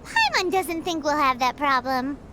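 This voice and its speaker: Tiny cheeky game fairy, super high squeaky voice, swoops all over, music behind. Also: female voice, sing-song, normal speed, very noisy. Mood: matter-of-fact. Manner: refers to self in third person, bubbly, exclamatory. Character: tiny childlike female fairy companion, cheeky and food-loving. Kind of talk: video game character dialogue.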